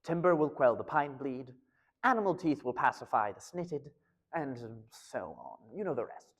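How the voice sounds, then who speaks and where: A man playing a halting, formal government research fellow with dry understatement, explaining himself in an analog horror archival interview. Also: medium pitch, very animated. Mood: measured, evasive. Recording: echoey hall with long reverb.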